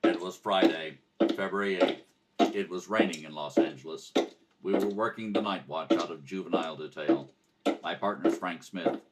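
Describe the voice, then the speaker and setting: A man playing a terse, stoic police detective with a deadpan, clipped, polite delivery in a radio crime drama. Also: low-pitched, normal speed, heavy background noise, voice pushed down, natural voice. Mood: matter-of-fact.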